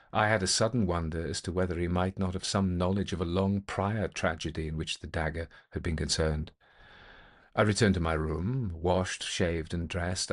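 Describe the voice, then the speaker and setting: A man narrating a ghost story as a methodical gentleman investigator, speaking in a formal, deliberate, old-fashioned way. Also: low voice. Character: male gentleman investigator recounting an eerie experience, methodical, intent.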